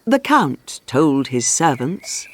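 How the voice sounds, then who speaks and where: Low-voiced woman narrating slowly and expressively. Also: very animated, no room sound. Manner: measured, clearly phrased storytelling. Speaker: audiobook narrator. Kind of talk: scripted storybook narration for English learners.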